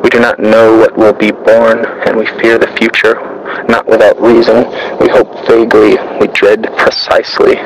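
A man with a lowish, crackly voice recites a scripted piece as its narrator. His delivery is measured and stays very flat, with little rise or fall. There is noticeable noise behind him on the recording. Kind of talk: scripted literary monologue, read aloud.

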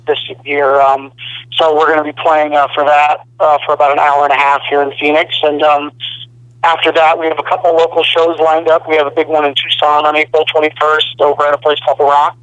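A young man from Arizona, a band singer, talking casually in a phone interview with a low, slightly gravelly voice and lots of fillers. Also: a little fast, no echo, lo-fi sound. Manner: relaxed, rambling, many fillers.

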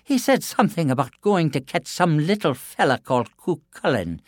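A man voicing a clever, calm giant's wife in a storybook tale, soothing and sly in a raised voice. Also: on the high side, moderate pace, dry recording, clean studio sound, voice pushed up.

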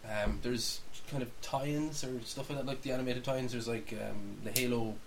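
A man's voice: mid-range voice, steady tone, normal speed, vocal fry, low-quality recording. A man chatting casually about films as a podcast host, rambling and thinking aloud. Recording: hissy background noise.

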